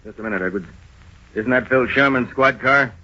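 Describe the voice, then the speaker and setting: Gruff, hard-edged police captain. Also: male voice, medium pitch, moderate pace, sharp tone. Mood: brisk, gruff. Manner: blunt, commanding, interrogating. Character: gruff, suspicious police captain grilling his men. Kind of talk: vintage radio crime drama acting. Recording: muffled old-time radio recording.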